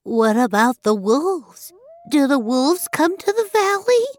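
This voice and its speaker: Woman playing a high-pitched timid little goat kid. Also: big pitch swings, measured pace. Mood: frightened. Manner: whimpering, timid questions. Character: small frightened goat kid, hungry and timid.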